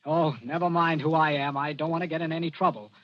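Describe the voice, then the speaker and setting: A man's voice: fairly high pitch, fairly even intonation, quick pace, natural voice. A man acting a nervy, evasive criminal in a 1940s radio drama, with a stammering manner. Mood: panicked, urgent. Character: nervy, evasive male criminal cornered by police. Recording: dull vintage 1940s radio recording.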